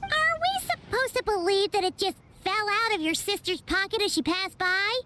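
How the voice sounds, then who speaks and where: A woman's voice: lively intonation, normal speed, noisy background. A woman voicing a tiny, chatty, exasperated childlike fairy companion in an anime-style video game. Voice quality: squeaky, childlike. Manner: speaks of herself in third person. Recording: game music under the voice.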